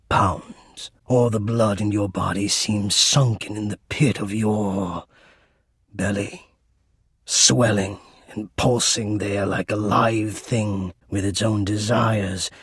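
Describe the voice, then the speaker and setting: A man's voice: on the low side, slightly rough, no echo, clean studio sound, heavily acted. A man voicing a shy, anxious, romantic air duct spirit in a dating game, speaking earnestly and formally with some hesitation. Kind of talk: dating game character acting.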